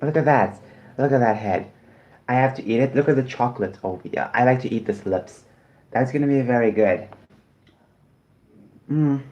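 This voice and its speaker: A man's voice: mid-range voice, normal speed, long pauses, highs cut off. A man from South Africa chatting casually on a livestream while eating, rambling and often apologizing.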